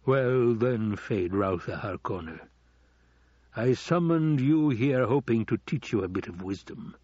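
A man voicing a scheming, silkily menacing older nobleman in an audiobook, with slow, drawn-out taunts. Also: medium pitch, expressive, a little mellow, husky, dry recording, muffled recording. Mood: mock-affectionate.

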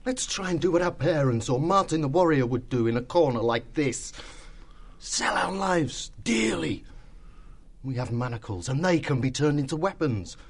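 A man acts out a young woodland creature in captivity, with a fairly high male voice. The delivery is grim and determined, ready for a fight, in storybook style. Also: expressive, normal speed. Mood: resolute.

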